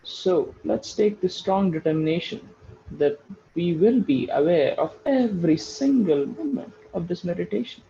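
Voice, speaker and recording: A man leading a guided meditation, giving slow, soothing instructions and repeating short phrases for emphasis. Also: high voice, lively intonation, gravelly, warm tone, lo-fi sound, a little hiss or hum. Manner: repeats phrases for emphasis, addresses listeners as friends.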